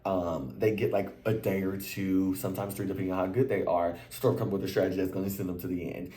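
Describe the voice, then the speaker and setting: Recap host, male, deep voice, a bit worn out, chatty and animated, echoey room. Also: fast. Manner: animated and chatty, slangy, leaps into high exclamations. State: voice a little worn. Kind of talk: casual chat to camera.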